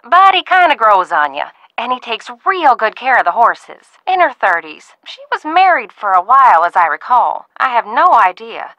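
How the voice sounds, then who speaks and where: Folksy, animated, fretful aunt. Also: female voice, fairly high pitch, normal speed, few pauses, no room sound, muffled recording. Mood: matter-of-fact, gossipy. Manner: folksy, expressive, motherly. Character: kindly, fretful, talkative older ranch-owner aunt. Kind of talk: video game voice acting.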